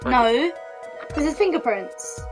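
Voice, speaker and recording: A woman with a high voice talks casually on a game stream. She reacts between bits of amateur character reading, with quiet game music underneath. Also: expressive, slow.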